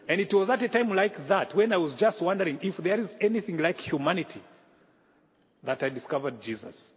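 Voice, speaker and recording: A man from Rwanda preaching as a guest pastor, earnest and measured with pauses, in a church sanctuary. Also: on the high side, breathy edge. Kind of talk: sermon. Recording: echoey hall, dull lo-fi sound.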